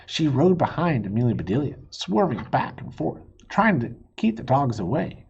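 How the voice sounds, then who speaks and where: Animated husky male storybook reader. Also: mid-range voice, moderate pace, muffled recording. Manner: playful, expressive, chuckles while reading. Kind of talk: animated children's story reading.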